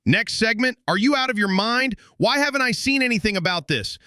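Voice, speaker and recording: A man's voice: very high-pitched, animated, a little fast, pushed and bright, no room sound, studio quality, exaggerated voice. A man playing a brash, showy conspiracy radio host in an audio drama, with an emphatic, folksy announcer delivery. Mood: agitated, incredulous. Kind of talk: bombastic talk radio hosting in an audio drama.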